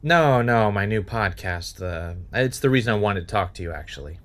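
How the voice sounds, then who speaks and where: A man's voice: fairly low pitch, animated, normal speed, a bit bright, dry recording. A man playing a guarded, wry podcaster and former reality contestant in a naturalistic audio drama. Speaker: podcast host and narrator.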